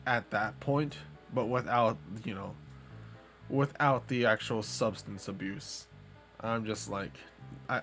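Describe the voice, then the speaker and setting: A man's voice: fairly low pitch, fairly bright tone. A young man chatting casually on a live stream, rambling in a laid-back way with lots of fillers.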